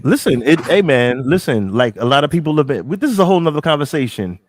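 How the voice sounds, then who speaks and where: A middle-aged man from New York, talking casually on a podcast, animated and emphatic, repeating himself and swearing freely. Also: on the high side, fast, slight background noise. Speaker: podcast co-host.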